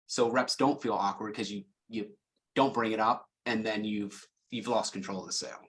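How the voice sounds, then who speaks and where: A man's voice: fairly low pitch, fairly even intonation, normal speed. A man speaking as a sales leader on a panel, plain-spoken and conversational, explaining through acted-out examples.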